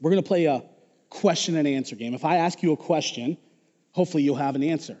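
A man's voice: fairly high pitch, fast talker, dark tone, big-room sound, dull audio, lightly acted. A man voicing a chatty male genius passenger inside a joke, told plainly in his own voice. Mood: friendly.

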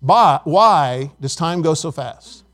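Man in his fifties, pastor, high voice, earnest conversational sermon, repeats words. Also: expressive, takes it slowly, a bit bright. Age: 50s. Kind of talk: sermon with scripture reading and prayer.

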